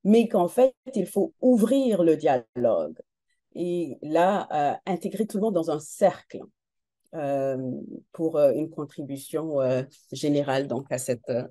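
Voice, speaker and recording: A woman speaking as a panelist in an earnest, measured way, with frequent filler sounds. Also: sits low, warm tone, dry recording. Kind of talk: panel talk.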